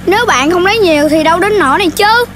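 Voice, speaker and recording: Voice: very high-pitched, expressive, quick pace. An actor voicing a bossy, earnest young animal in a children's cartoon, speaking in an emphatic childlike way. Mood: reproachful. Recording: loud children's music behind.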